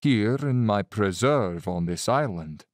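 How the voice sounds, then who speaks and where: A courtly Cossack general in an audio drama, with a lowish voice that rises and falls freely. He is affable, leisurely and self-satisfied, with menace under the polish. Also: animated, takes it slowly, darkish tone, no room sound, clean studio sound. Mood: smug, ominous.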